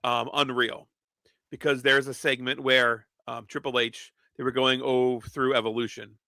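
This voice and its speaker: A man with a bright, forward voice, co-hosting a loose podcast chat. He rambles through stories and swears freely. The sound is dry and close. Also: medium pitch, flat delivery, moderate pace, no echo. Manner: profane, rambling storyteller. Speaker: podcast co-host. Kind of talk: casual wrestling podcast chat.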